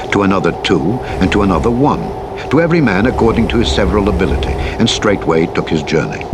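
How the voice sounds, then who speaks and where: Clear truck engine and road noise runs under a man's low-pitched voice. He reads scripture solemnly as a narrator, in formal archaic English. His delivery is measured and dramatic. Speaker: Bible narrator. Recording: played over clearly audible vehicle engine noise. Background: truck engine and road noise from a driving game.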